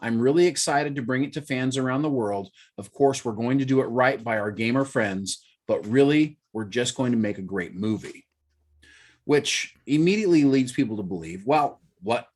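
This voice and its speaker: A man's voice: mid-range voice, lively intonation, normal speed, darkish tone. A man hosting a casual podcast, explaining things chattily and hedging with fillers. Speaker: podcast host. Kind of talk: casual chat.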